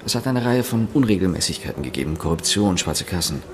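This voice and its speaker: A secretive male investigative reporter in a radio crime drama. He talks in a low, measured, knowing way, with music clearly underneath. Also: animated. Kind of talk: conversational radio drama acting.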